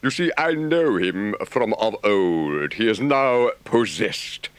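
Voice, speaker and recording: A man voicing a male water rat who blusters and then speaks calmly and firmly. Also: lowish voice, slow pace, clear, resonant tone, no echo. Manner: blustering outbursts, then calm and firm. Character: indignant male water rat, practical and firm. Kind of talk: storybook character voice.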